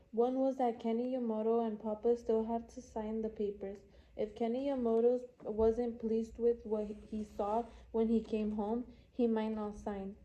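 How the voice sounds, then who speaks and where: Synthetic lady reading an audiobook, very mellow dark tone, kinda lo-fi. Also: fairly high pitch. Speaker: audiobook reader. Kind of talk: scripted narration of a children's novel.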